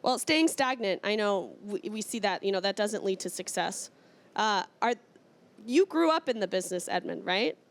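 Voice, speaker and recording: A woman's voice: mid-range voice, animated, moderate pace. A woman from Iceland hosting a casual trade-show interview in an enthusiastic, informal way. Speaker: interview host.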